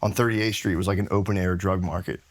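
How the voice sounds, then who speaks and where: A man's voice: sits low, steady tone, fast talker. American man telling stories in casual slang. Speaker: podcast guest, comedian. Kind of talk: casual chat, anecdotal storytelling.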